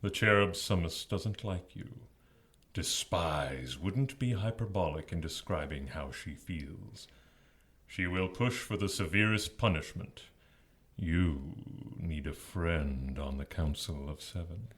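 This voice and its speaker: A man playing a scheming, seductive demon lord in an audio drama, with a husky rasp and a mocking, persuasive manner. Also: sits low, a bit bright, full character voice. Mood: calculating. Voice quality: husky, raspy. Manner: slow, mocking, theatrical persuasion. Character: scheming male demon lord, seductive tempter hungry for power.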